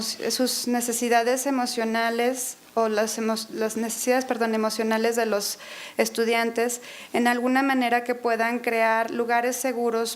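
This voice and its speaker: Hesitant woman interpreting with a higher voice. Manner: hesitant, many fillers and self-corrections. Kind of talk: consecutive interpreting of a lecture. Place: university auditorium.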